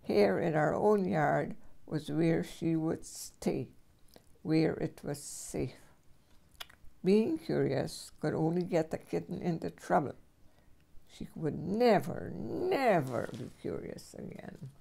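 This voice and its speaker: An older woman reading a children's storybook aloud as a great-grandmother, with expressive storyteller phrasing. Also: low voice, slow pace, vocal fry.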